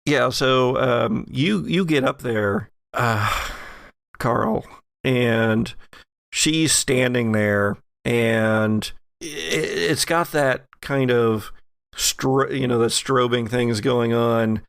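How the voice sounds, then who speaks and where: A man runs a horror tabletop game with a strongly creaky voice. He narrates slowly and deliberately, feeling for his words, in a very dry recording. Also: medium pitch, fairly bright tone, no echo. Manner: deliberate, halting, searching for words. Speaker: game master.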